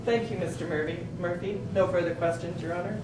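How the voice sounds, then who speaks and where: Low-pitched, echoey woman as a skeptical prosecutor. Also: fairly even intonation, fairly quick, natural voice. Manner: pointed, questioning. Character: skeptical, challenging female courtroom prosecutor. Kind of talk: amateur stage play acting. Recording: echoey distant room recording, clear background noise.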